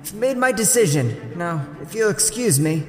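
A man's voice: high-pitched, very animated, normal speed, a little mellow. An actor playing a grieving teenage boy acrobat who speaks earnestly and resolutely. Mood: determined. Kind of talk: audio drama acting. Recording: reverberant with music score.

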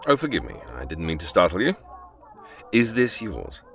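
A man's voice: low voice, very animated, moderate pace, vocal fry, fairly bright tone, full character voice. A man playing a suave, courteous, observant leading man in an audio drama. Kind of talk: audio drama narration and acting.

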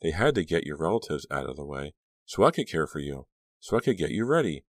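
Man playing a low-voiced, sinister, firm aunt. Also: big pitch swings, quick pace, no room sound, exaggerated voice. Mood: bluntly triumphant. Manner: firm, blunt, coaxing, whispering at times. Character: sinister small woman posing as a gentle aunt, firm.

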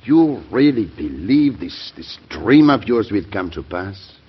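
Cynical French statesman, husky voice, animated intonation, formal, courtly, weary, vintage radio drama. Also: on the low side, a little slow, exaggerated voice. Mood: wry, skeptical. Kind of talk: classic radio drama acting. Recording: dull, band-limited old radio recording.

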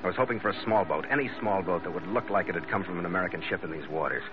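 An actor playing a tough, wry ship's skipper, hero of an old-time radio adventure, with a terse, cool manner. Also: male voice, low voice, rapid-fire, lightly acted. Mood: grim narrating. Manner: hard-boiled, terse, cool. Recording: muffled vintage radio recording with music.

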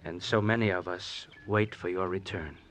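A man's voice: low-pitched, fairly even intonation, moderate pace, slight background noise. An actor playing an intense young male zealot, formal, courtly and fervent, in an old-time radio drama. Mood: fervent, worshipful. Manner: fervent, formal, swelling from hushed to forceful.

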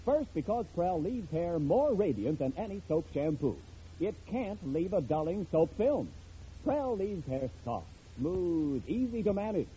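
A man's voice: sits high, sing-song, a little slow, dark tone. A man announcing and reading an advertisement in 1940s radio style. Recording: muffled old radio broadcast with hiss.

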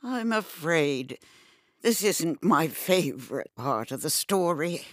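A woman's voice: sits low, very animated, takes it slowly, dry recording, studio quality, full character voice. A woman playing a sulking, apologetic storyteller in a fantasy audio drama, subdued and despondent.

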